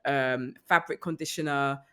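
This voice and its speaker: A woman comedian chatting casually as a podcast guest, riffing in a rambling, animated comic way. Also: a bass voice, normal speed, smooth. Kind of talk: casual comic panel chat.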